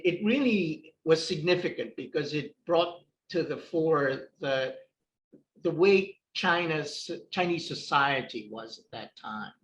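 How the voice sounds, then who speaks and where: A chatty man hosts a podcast interview, asking questions with frequent restarts. His voice sits somewhat high for a man, and it rings in a roomy, echoey space. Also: a bit bright, a little hiss or hum. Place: Hong Kong.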